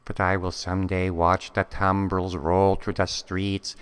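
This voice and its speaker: A man voicing a scheming, self-important female cartoon cat, telling the story in a solemn, grandiose deadpan as a scripted monologue. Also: deep voice, clean tone. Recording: long cavernous reverb.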